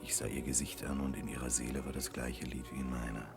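A man's voice: rumbling low, little pitch movement, quick pace, creaky, music in the background. An actor playing a remorseful man tormented by memories of lost love, narrating earnestly and lyrically in a 1960s German radio play. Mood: tender, wistful.

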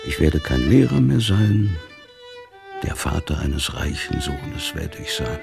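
An actor playing a devout, stern, weary older father in a literary radio play, speaking gravely with a gravelly, husky voice. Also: rumbling low, sing-song, slow, over music, exaggerated voice. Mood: grave, brooding.

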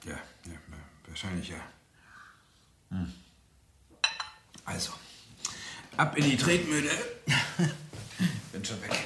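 A man's voice: medium pitch, big pitch swings, slow, long pauses. A man playing a sardonic, irritable doctor caught in an affair, in a German radio crime drama, stammering when rattled. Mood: irritable, evasive. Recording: roomy vintage radio drama, background hiss.

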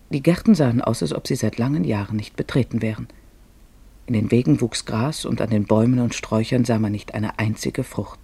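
Guy narrating a literary radio play, mellow husky voice, dry close sound. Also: medium pitch, no room sound.

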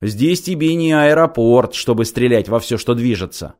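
A man's voice: medium pitch, animated, moderate pace, darkish tone, natural voice. A man doing a curt, stern station chief. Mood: scolding. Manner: curt, commanding. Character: stern middle-aged male station chief.

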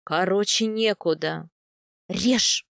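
A woman's voice: medium pitch, slow, gravelly, a bit bright, no echo, studio quality. A woman voicing a defiant young woman, a feisty captive bride who speaks emphatically in a fantasy audiobook. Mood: urgent, insistent.

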